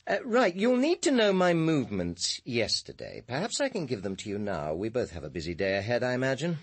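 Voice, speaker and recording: An actor playing a composed, guarded middle-aged power station director, formal and precise, with a British accent in a radio drama. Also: male voice, mid-range voice, big pitch swings, husky. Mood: defensive, measured. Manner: formal, precise, measured, educated phrasing.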